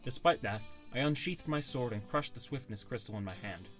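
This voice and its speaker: A man's voice: medium pitch, expressive, brisk, fairly bright tone, faint music behind. A man reading scripted first-person audiobook narration.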